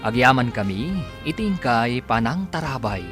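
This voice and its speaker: A man's voice: mid-range voice, very animated, moderate pace, music in the background. A man with an Ilocano accent reading scripted narration as a radio drama announcer.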